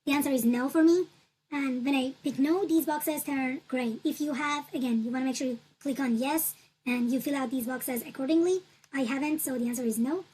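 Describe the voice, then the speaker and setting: High-voiced woman walking through a form. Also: brisk, a little mellow, poor audio. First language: Turkish. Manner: patient, repetitive instructional talk with fillers. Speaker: tutorial presenter. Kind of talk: screen-recorded walkthrough.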